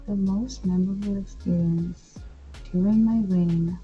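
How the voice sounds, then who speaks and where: A woman's voice: mid-range voice, fairly even intonation, measured pace, lo-fi sound, faint music behind. A woman in her twenties who grew up in India, talking in a chatty, enthusiastic way with many fillers and restarts as an interview guest. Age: 20s. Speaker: pageant titleholder guest. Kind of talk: casual interview.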